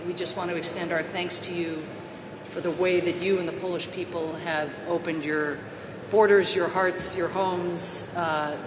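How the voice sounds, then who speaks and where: A woman moderates a formal briefing, gracious with small hesitant pauses. Her voice rings through a large echoing hall. A steady hum runs underneath. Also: on the low side. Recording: echoey hall with background hum.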